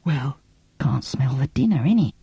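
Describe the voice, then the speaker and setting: A storyteller putting on a theatrical old grande dame, lofty and drawn-out. Her speech is animated and a touch husky, in a dry, close recording. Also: mid-range voice, dry recording, pitched below the performer's own voice. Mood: fond. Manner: lofty, drawn-out, mannered delivery.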